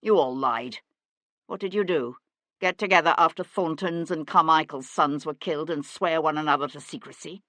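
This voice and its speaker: A woman voicing a young gentleman investigator in an audiobook, sardonic and hard-edged, with a clipped manner. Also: low voice, normal speed, dry recording. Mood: cold anger.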